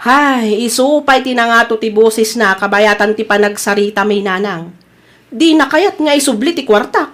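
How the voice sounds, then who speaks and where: A high-pitched young woman with an Ilocano accent, played by an actress, speaks in an audio drama. She is a dutiful daughter, stubborn and easily aggrieved when she feels wronged. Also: moderate pace, fairly bright tone, close to an everyday voice. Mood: hurt.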